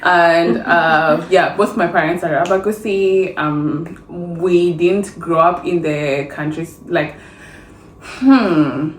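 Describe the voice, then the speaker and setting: A young Kenyan woman co-hosting a podcast, relaxed and playful, joking as she talks. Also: fairly low pitch, slow pace. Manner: relaxed, playful, laughs and jokes. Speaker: podcast co-host. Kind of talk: casual chat. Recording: roomy with faint background hiss.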